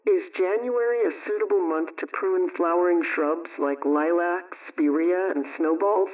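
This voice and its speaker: Distant, dull radio sound, higher-voiced male presenter. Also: unhurried. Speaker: radio household advice presenter. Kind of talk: scripted radio question-and-answer reading.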